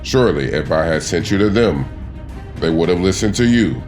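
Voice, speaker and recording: A man's voice: low-pitched, a little mellow, natural voice. A man voicing God in a dramatized scripture reading, stern, solemn and commanding, with emphatic, declamatory delivery.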